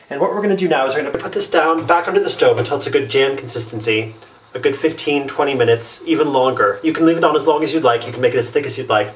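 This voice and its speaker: A man hosting a home cooking video, talking viewers through a recipe in a relaxed, chatty way with fillers and restarts. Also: medium pitch. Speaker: home cooking show host. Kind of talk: casual step-by-step cooking demonstration. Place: home kitchen. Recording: echoey room, distant mic, faint noise.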